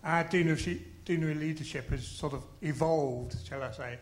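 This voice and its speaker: A man at a church conference explaining his team's approach, animated and self-deprecating. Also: on the high side, moderate pace. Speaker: conference delegate.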